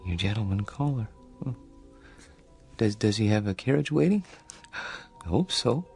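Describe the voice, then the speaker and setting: Timid, dreamy adult son for radio drama; lowish voice, halting polite stammer, music underneath. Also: male voice, expressive, gravelly, a little mellow. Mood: tender, wistful. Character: meek, awkward grown son, secretive and lonely dreamer. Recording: music bed under the dialogue.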